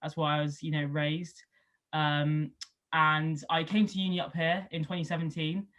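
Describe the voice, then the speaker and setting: A young woman with a West Country lilt from Somerset talks through her backstory in a relaxed, chatty flow. She fills her sentences with ums and likes, and lifts her voice when she acts out what other people said. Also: low-pitched, normal speed. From Somerset, England. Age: 20s. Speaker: rugby league player. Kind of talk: casual interview answers.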